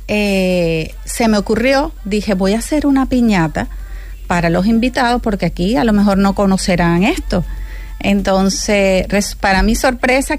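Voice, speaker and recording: A woman talks as a guest in a close, dry studio. She speaks in lively bursts and repeats words for emphasis. Her voice sits at an ordinary middle pitch. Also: no echo. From Venezuela. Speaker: guest, piñata maker. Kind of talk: casual radio chat.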